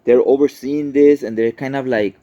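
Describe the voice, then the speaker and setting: A man's voice: mid-range voice, steady tone, moderate pace, warm tone, no room sound, muffled recording. A man recapping a story in a casual, conversational style, as a host chatting to listeners. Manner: informal, conversational, checks in with "right?". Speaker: host, comic book recapper.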